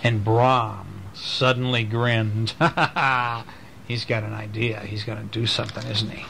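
A man's voice: on the low side, takes it slowly, gravelly. Man reading a bedtime story, faint background noise. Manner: expressive, breaking off to explain and ask the child questions. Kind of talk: storytelling read aloud to a small child, with asides. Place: at home, reading to a child. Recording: noisy amateur home recording.

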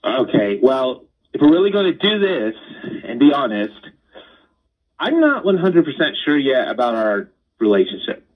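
A man's voice: mid-range voice, very animated, moderate pace. A man speaking casually and defensively on a phone call, hesitant and stammering. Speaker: surprised call-in guest on a radio prank call. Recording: muffled, husky phone line from far off.

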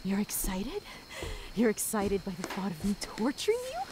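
A woman playing a sadistic, obsessive demon woman in an ASMR roleplay, gloating and possessive, with menacing breathy whispers that can break into shouts. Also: medium pitch, very animated, normal speed, background noise, close to an everyday voice. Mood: mocking, teasing.

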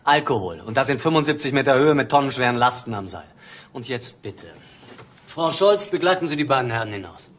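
Radio drama executive, male actor: curt, self-important, rattles lines off quickly, faint noise behind. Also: medium pitch, lively intonation, fairly bright tone, lightly acted. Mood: impatient, irritated. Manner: brusque, dismissive. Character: arrogant, impatient male construction company boss. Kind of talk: German radio play acting.

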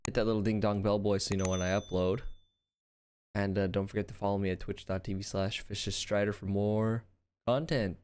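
Low-voiced young man, sweary casual reactor. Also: little pitch movement, a little fast, darkish tone, smooth. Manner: profane, slangy, exclamatory. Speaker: reaction video host. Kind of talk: casual excited reaction commentary. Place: indoor streaming room at home. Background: music and game trailer audio.

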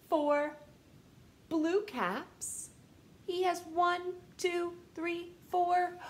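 A woman in her thirties reading a children's picture book aloud in a living room, with an animated, sing-song manner that speaks straight to young listeners. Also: high voice, echoey room, slight background noise. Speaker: storytime reader. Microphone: camera in front at close range.

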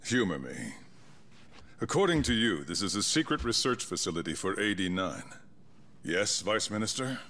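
A man playing a composed, calculating middle-aged chief prosecutor in a video game drama, speaking in a measured, formal, authoritative way. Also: lowish voice, sing-song, a bit bright, slight background noise. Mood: shrewd, probing. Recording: music under the dialogue.

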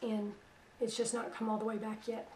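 A middle-aged woman hosting religious internet radio. Her voice is weak after a stroke, and she talks in a soft, plain-spoken, unhurried way. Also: a little high, level tone, roomy sound, distant mic, slight background noise. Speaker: radio host. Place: small room at home, indoors. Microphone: headset.

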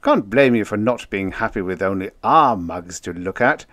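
A man's voice: fairly low pitch, lively intonation, moderate pace, fairly bright tone, dry recording. A man voicing a jovial, teasing spaceman with a slangy, wisecracking manner in a story reading. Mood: knowing, teasing.

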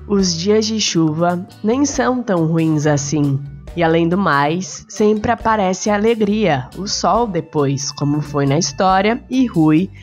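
A woman with a Brazilian accent, a storyteller and podcast host narrating and chatting to children. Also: lowish voice.

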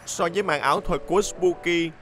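A man plays a modest young cartoon vehicle, nervous and hopeful to please. The voice is high, and loud music plays over it. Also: a little fast, natural voice. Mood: self-doubting. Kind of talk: dubbed children's cartoon acting.

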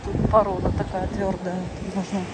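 Woman walking by the ocean filming on her phone, chatty and excited, heavy wind noise. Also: mid-range voice, moderate pace. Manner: chatty, enthusiastic, exclaiming at the view. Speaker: vlogger. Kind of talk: casual walking vlog. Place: windy seaside promenade outdoors. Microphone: phone camera held while walking. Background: strong wind and crashing waves.

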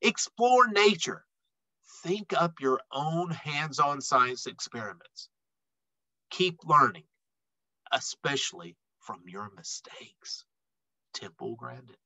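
Animated man reading a children's picture book. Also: a little high, slow, long pauses, dry recording, rough recording. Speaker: read-aloud storyteller. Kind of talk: expressive children's picture book read-aloud.

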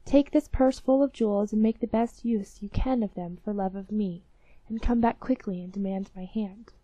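A woman's voice: mid-range voice, normal speed, dark tone, husky, no echo, dull audio, lightly acted. A woman voicing a devoted, stubborn sixteen-year-old princess in a storybook, earnest and firm. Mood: tender, urging. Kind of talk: storybook character voice.

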